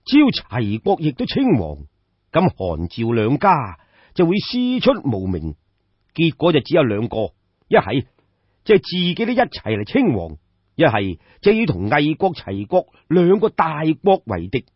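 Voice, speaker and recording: Man playing shrewd Cantonese court adviser, husky. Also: on the high side, wildly swooping pitch, no room sound, highs cut off, exaggerated voice. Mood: confident, persuasive. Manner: measured, explanatory, deferential. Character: shrewd, composed court adviser of ancient China.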